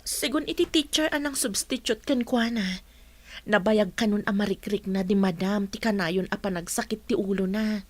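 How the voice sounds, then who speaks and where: A woman's voice: medium pitch, moderate pace, no room sound, lightly acted. A woman acting a concerned young student teacher confiding in a friend in an Ilocano radio drama, with an Ilocano accent.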